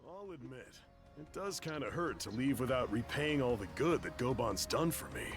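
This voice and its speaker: A man's voice: medium pitch, expressive, normal speed, music in the background, lightly acted. A man voicing a cheerful, easygoing adventurer and loyal travel companion in a video game, breezy and teasing in manner. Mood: reflective, friendly.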